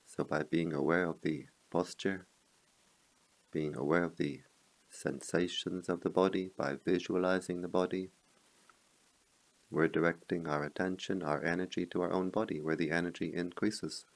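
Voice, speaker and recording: Very deep, gravelly man guiding meditation. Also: fairly even intonation. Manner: slow, measured phrases with long pauses. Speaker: meditation teacher. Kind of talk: guided meditation.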